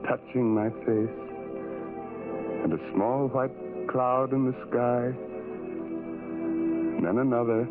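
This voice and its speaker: A man's voice: medium pitch, steady tone, slow, pauses often, narrow, tinny audio, lightly acted. An actor playing a tormented, self-loathing ugly man who narrates his life in anguished, brooding, repetitive phrases, in a 1970s radio drama style. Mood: brooding, wistful. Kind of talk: 1970s radio drama acting, first-person monologue. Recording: thin vintage radio sound, music underneath.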